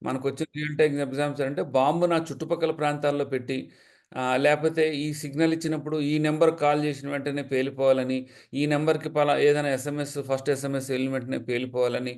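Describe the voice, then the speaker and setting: A man's voice: on the high side, a little mellow, smooth. A man with a Telugu accent explaining as an expert, mixing in English technical terms.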